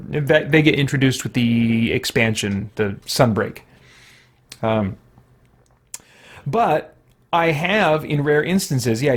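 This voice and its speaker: A man hosting a gaming livestream, chatting casually and thinking aloud in a rambling way. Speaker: livestream host. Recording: noisy background rumble.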